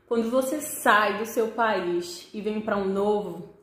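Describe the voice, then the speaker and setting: A woman's voice: mid-range voice, slow pace, a bit bright. A young woman with a Northeastern Brazilian accent chatting casually and expressively to her viewers, speaking straight to the camera. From Pernambuco, Northeast Brazil. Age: young adult. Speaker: vlogger. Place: small living room with desk, indoors. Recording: echoey room.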